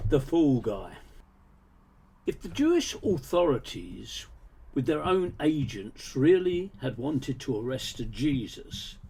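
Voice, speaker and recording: A man's voice: medium pitch, dark tone, slightly rough. A man reading his own book as scripted narration, in a sardonic, mocking way with wry rhetorical asides.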